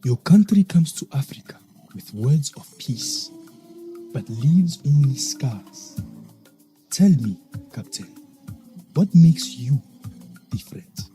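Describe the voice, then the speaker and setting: Casting: male actor as proud, guarded warrior king for audio drama. Very dark, mellow tone, slow solemn delivery. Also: medium pitch, big pitch swings, faint music behind. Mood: stern challenge. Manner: measured, solemn, formal speech.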